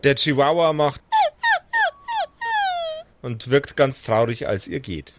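A man narrating an improvised tabletop game as game master, measured and pausing mid-sentence. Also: mid-range voice, lively intonation, heavy background noise.

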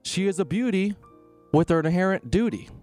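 A man reading verse aloud like an amateur, haltingly and stumbling over words. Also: high voice, expressive, darkish tone, soft background music.

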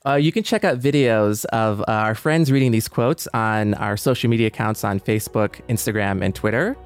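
An American man co-hosting a podcast discussion, speaking in a measured, reflective, slightly halting manner. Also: lowish voice, clean tone. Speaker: podcast co-host.

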